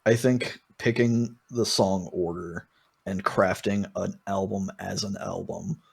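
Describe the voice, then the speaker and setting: A man's voice: sits low, steady tone, slow pace, gravelly, breathy edge. A man co-hosting a podcast, talking casually about music and thinking aloud as he qualifies his points. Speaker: podcast co-host.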